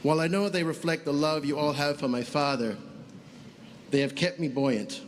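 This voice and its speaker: A middle-aged man giving a formal funeral speech, deliberate and pausing often. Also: on the high side, slight background noise. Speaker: son giving funeral tribute. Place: funeral service.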